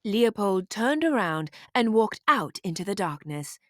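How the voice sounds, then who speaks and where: Female read-aloud narrator for kids' storybook, animated intonation, deliberate slow pacing. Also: medium pitch, slight background noise.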